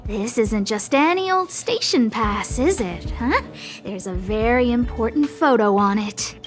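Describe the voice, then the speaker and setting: A woman's voice: on the high side, animated, measured pace, soft background music. A woman voicing a melodramatic, guilt-stricken young noblewoman and lead researcher in an anime-style video game, theatrical and earnest. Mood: accusing, insistent. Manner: theatrical, over-earnest, self-accusing.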